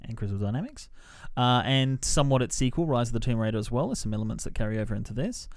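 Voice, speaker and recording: Animated male podcast host, clean dry sound. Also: mid-range voice, fast, no echo. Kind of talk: film review chat.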